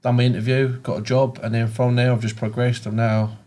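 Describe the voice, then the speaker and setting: A man's voice: mid-range voice, little pitch movement, fairly quick, warm tone. A British man in a podcast studio, telling stories in a chatty, casual way. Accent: British English. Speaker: guest, project manager.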